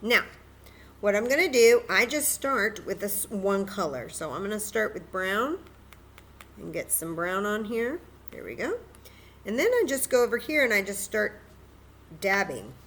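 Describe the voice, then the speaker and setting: A woman's voice: mid-range voice, sing-song, unhurried, a bit bright. A woman hosting a children's story time, patiently and encouragingly demonstrating a craft step by step in simple, casual words.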